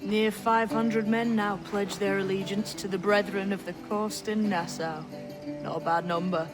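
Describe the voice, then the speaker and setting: A woman's voice: medium pitch, fairly even intonation, normal speed, over music, lightly acted, poor audio. A woman playing a sly, teasing, boyish young pirate with a knowing manner in a video game. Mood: shrewd, informative. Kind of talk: dramatic video game acting.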